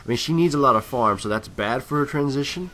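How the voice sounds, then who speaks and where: A man's voice: medium pitch, fast talker, bright, forceful tone. A man doing casual, unscripted game commentary, thinking aloud in a rambling way and often trailing off. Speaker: gameplay commentator.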